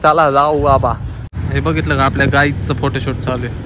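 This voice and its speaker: A young man, talking in a casual, joking, excited way as he vlogs outdoors into a handheld camera. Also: mid-range voice, moderate pace. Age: 20s. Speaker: vlogger. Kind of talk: casual travel vlog. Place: outdoors on a mountain road. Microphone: handheld camera at arm's length. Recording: outdoor recording with loud traffic.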